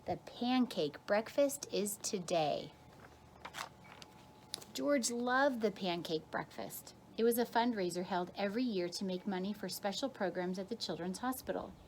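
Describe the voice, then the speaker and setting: A woman's voice: mid-range voice, moderate pace, few pauses, a little hiss or hum. A woman teacher reads a children's picture book aloud expressively, drawing out key words for emphasis. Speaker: kindergarten teacher reading aloud.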